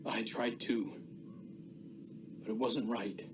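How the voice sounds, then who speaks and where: A man's voice: lowish voice, fairly even intonation, slow pace, recorded from a distance, background noise. An actor playing an earnest, tender, remorseful young male warrior in a dramatic video game scene. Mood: pleading, remorseful. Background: loud music.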